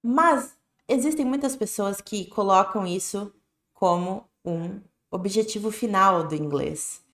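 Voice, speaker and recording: A woman's voice: medium pitch, takes it slowly, fairly bright tone. A woman with a Brazilian Portuguese accent, teaching an English lesson on a live stream in a casual, direct way, often checking in with "né?" and "tá bom?". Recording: echoey room.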